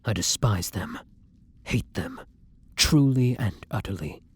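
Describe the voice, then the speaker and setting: A man playing a kind, tormented vampire in an intimate roleplay audio drama, murmuring softly and breathily in formal, old-fashioned phrasing. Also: on the low side, animated, slow pace, slightly rough, dry recording, full character voice. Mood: bitter, resolute. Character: kind, tormented male vampire, tender and protective lover.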